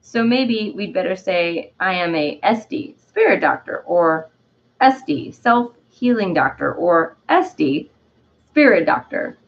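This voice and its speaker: A woman voicing an exuberant, self-loving talking dandelion with a put-on French accent, reading theatrically and a little haltingly, as in a storybook. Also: lowish voice, very animated, muffled recording, full character voice. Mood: proud, playful. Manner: theatrical, halting, often tagging phrases with "eh". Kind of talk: dramatic storybook-style reading.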